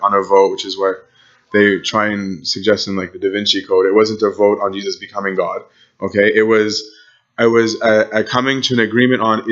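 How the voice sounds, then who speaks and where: A man's voice: lowish voice, fairly bright tone, muffled recording. A man giving an informal church history lecture, often checking in with his listeners by asking "okay?" and "right?". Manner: conversational, frequent "okay?" and "right?" check-ins. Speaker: church teacher.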